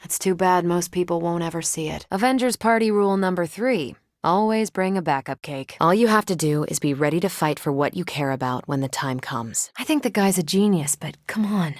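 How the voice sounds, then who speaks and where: A woman's voice: fairly low pitch, fairly quick, few pauses, no room sound, natural voice. Woman doing a cocky wisecracking superhero lady for a video game, american accent, punchy delivery, dry studio sound. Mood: wry, conversational. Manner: wry, punchy, self-assured. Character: confident, wisecracking, battle-ready female superhero. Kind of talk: video game character voice lines.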